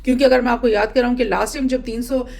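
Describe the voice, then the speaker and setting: High-voiced woman explaining the news. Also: fairly even intonation, creaky, darkish tone. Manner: explanatory, halting, often repeats and rephrases points. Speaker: host presenting news analysis. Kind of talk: informal news commentary, explaining to viewers.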